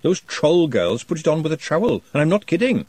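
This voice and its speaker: A man voicing a brisk, practical woman who runs a kitchen, in a storybook reading, matter-of-fact. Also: fairly high pitch, very animated, a little mellow, dry recording, voice pushed up.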